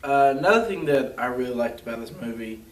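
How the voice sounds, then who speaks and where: A man's voice: mid-range voice, lively intonation, fast. Young man, casual chatty movie review talk, lots of "like", echoey room, far from the mic. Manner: chatty, lots of "like", acts out scenes.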